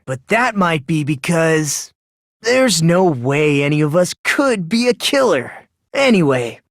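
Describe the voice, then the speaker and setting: A woman with an American accent voicing a polite, soft-spoken young man with an unsettling hopefulness in a video game. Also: low voice, no echo, studio quality, full character voice. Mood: musing. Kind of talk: English video game voice acting.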